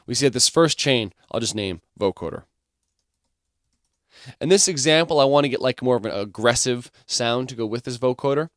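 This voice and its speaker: A man with a mid-pitched voice explains music software like an instructor. His delivery is informal and explanatory, working through the steps one by one. The sound is dry, with very little room in it. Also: no room sound. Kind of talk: software tutorial, explaining step by step.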